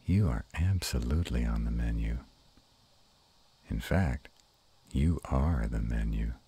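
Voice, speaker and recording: A man playing a gruff but tender were-dragon lover in an intimate romantic audio roleplay, murmuring slowly and haltingly in a gravelly, husky voice. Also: a bass voice, expressive, dark tone, full character voice. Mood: playful growling.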